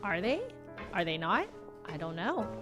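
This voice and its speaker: A woman's voice: fairly low pitch, big pitch swings, measured pace. A woman game streamer giving casual, informal live commentary, reacting as she goes. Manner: informal, reactive, hesitant asides. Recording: game music behind voice. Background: video game music.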